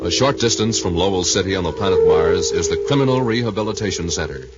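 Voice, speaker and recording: A man narrating a 1950s radio drama as its announcer, with dramatic, deliberate scripted delivery. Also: low voice, animated. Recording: muffled, noisy 1950s radio recording.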